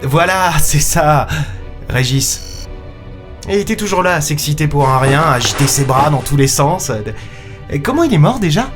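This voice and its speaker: An actor playing a weary, colloquial space captain who narrates and talks in a science-fiction audio drama. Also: male voice, a little high, animated, moderate pace, natural voice. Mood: amused reminiscing. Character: world-weary male space captain, last human in the galaxy. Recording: music under the voice.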